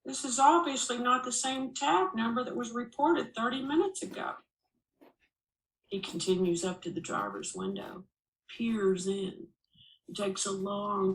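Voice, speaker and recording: A woman reading a first-person memoir aloud as an expressive storyteller, with a dramatic, wry delivery. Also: mid-range voice, measured pace, far from the mic. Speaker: narrator reading a memoir chapter. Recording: roomy, echoing voice recording.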